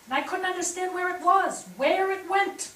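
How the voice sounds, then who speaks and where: Stage drama daughter role female actor, high voice, earnest and persistent, distant miking. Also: moderate pace, a bit bright. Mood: concerned. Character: earnest, insistent grown daughter of a widow. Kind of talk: theatrical staged reading. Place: theatre stage, picked up from far off. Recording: distant, echoey theatre.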